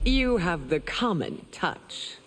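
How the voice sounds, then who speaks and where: A woman's voice: fairly low pitch, very animated, slow pace, fairly bright tone, slight background noise, full character voice. A woman playing a proud, stern empress in a fantasy video game, speaking in a regal and formal manner. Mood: stern, haughty. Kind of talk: video game dramatic acting. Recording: long hall reverb, music behind.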